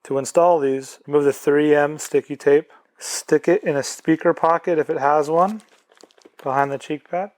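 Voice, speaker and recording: Slightly higher-voiced man giving product instructions. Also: steady tone, normal speed. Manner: step-by-step, plain explaining. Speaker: product presenter.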